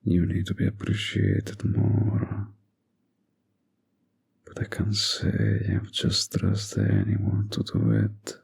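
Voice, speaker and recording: Casting: seductive fantasy villain played by a man, deep and gravelly, manipulative, teasing a hero in romantic audio roleplay, often near a whisper. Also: sing-song, darkish tone, heavily acted. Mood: seductive, probing. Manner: slow, teasing, seductive, insinuating.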